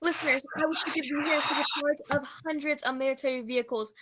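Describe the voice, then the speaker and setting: Bright, grandiose actress as a lady war correspondent. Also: high voice, steady tone, fast. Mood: rousing, grandiose. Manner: pompous, florid, dramatic. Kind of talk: old-time radio news report parody.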